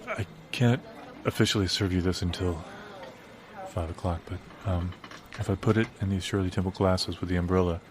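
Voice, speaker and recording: A man's voice: low-pitched, steady tone, moderate pace, a crowd in the background, natural voice. A man playing a flustered, polite bartender in improvised audio comedy, stammering earnestly and hesitantly. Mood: hesitant, pleading. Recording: guitar music behind. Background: guitar music.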